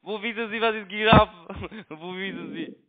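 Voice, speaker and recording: A man's voice: sits high, moderate pace. A man with a foreign accent, a non-native speaker arguing in broken sentences and insistently repeating his phrases over the telephone. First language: not German. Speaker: phone broker and scam caller. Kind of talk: argumentative phone conversation. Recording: tinny narrowband phone line.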